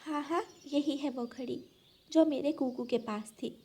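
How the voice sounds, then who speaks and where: A woman's voice: sits high, a little slow, darkish tone. A woman voicing an earnest, protective mother bird, firm and insistent, in a children's cartoon.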